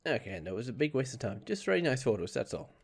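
A man in his thirties with a slightly raspy voice, talking on a headset mic as he plays a horror game and teases the characters. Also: mid-range voice, sing-song, quick pace. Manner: joking, teasing game characters. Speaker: gameplay streamer. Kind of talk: casual let's-play commentary.

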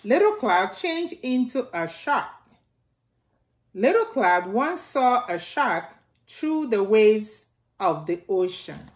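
A middle-aged woman hosting a storytime, reading aloud to small children in simple, deliberate phrases and repeating key words. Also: on the high side, big pitch swings. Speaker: storytime host reading a picture book to young children. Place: indoors in a home, beside a staircase.

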